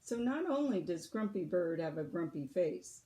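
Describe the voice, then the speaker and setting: A woman reading a picture book aloud to children like a teacher, deliberate and expressive, pausing to explain. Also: lowish voice.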